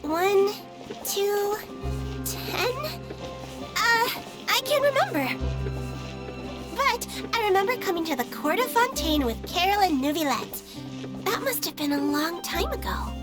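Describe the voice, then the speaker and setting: A woman's voice: very high voice, expressive, unhurried, pauses often, loud music behind the voice. A woman voicing a sweet, innocent little girl creature in a video game, with a light, earnest, childlike delivery. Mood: thoughtful, innocent. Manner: earnest, guileless.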